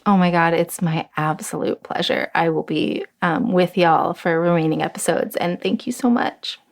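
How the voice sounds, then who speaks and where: A woman's voice: fairly low pitch, normal speed, gravelly. A woman with a Southern US accent, a podcast guest, who talks animatedly and emphatically. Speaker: guest reporter. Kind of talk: casual podcast chat.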